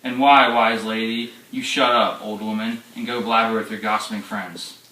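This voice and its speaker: A man's voice: on the low side, level tone, moderate pace, bright, forceful tone, a little hiss or hum. An actor playing a domineering older nobleman father who scolds and rants at his daughter. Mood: raging. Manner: scolding, ranting. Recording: echoey room from far off, faint ambience.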